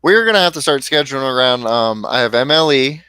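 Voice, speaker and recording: Guy in his late twenties, jokey streamer, bright clean voice, no room sound. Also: medium pitch, expressive, a little fast, clean tone, dry recording, studio quality. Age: 20s. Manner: joking, teasing. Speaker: streamer host. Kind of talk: casual livestream chat.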